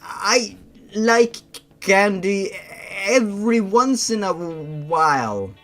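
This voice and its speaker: A nervous young creature girl, acted out by a man in a raised pitch for a horror story. She is shy and halting, stammering slowly over music. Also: expressive, a bit bright, full character voice. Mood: nervous, polite. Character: nervous, twitchy young female creature with a tail.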